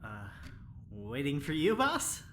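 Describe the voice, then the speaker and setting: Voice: medium pitch, huge pitch swings, slow pace, fairly bright tone, exaggerated voice. A woman playing a chatty but evasive, eager-to-please prisoner, chirpy and hesitant, in a sci-fi audio drama. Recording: faint background noise.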